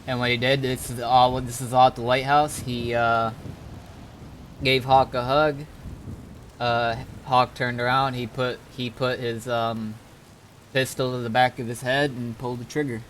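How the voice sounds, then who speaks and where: A man's voice: mid-range voice, flat delivery, measured pace, fairly bright tone. Laid-back man streaming over noisy ambience. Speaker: game streamer. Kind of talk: casual livestream chat, recapping roleplay events.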